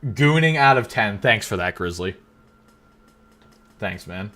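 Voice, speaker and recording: Bright, teasing young male streamer. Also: on the low side, expressive. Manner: joking, teasing, quick banter with frequent laughs. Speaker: livestream host. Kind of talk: casual live-stream chat, reading out chat messages.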